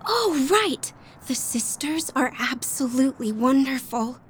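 A woman's voice: sits high, big pitch swings, unhurried, a little hiss or hum. A woman playing a scatterbrained young woman under a spell, bubbly and giddy in a comic audio drama.

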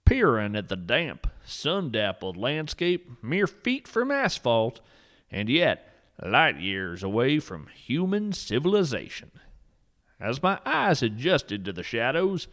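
A man's voice: mid-range voice, very animated, slow pace, fairly bright tone. A man hosting and narrating a whimsical scripted nature podcast with an earnest, poetic, mock-solemn delivery.

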